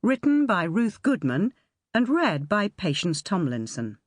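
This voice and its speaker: A woman reading a nonfiction audiobook in a measured, articulate way. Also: fairly low pitch, sing-song, dry recording, clean studio sound. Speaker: audiobook narrator. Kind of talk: scripted audiobook narration of nonfiction.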